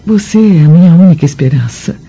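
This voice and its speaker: A gentle, wronged Roman wife in a radio play. She pleads earnestly in a soft, lowish voice. The recording is slightly muffled. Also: lively intonation, a little fast, breathy edge, soft background music. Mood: pleading. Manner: earnest, theatrical. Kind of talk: dramatic radio play acting.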